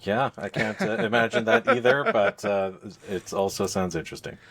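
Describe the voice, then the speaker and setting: Low-voiced man chatting about games. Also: wildly swooping pitch, normal speed, gravelly, fairly bright tone, breathy edge. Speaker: video game podcast co-host. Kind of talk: casual game discussion.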